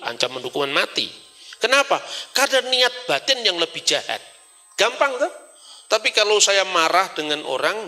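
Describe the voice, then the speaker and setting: A man with a Javanese accent giving an animated religious lecture, asking rhetorical questions and slipping into Javanese phrases. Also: fairly high pitch.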